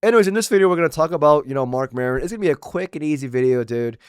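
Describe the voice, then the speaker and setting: A man's voice: a little high, expressive, very fast, clear, resonant tone, dry recording, studio quality. A young man from Maryland giving blunt, slangy political commentary while losing his voice. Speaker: commentary channel host.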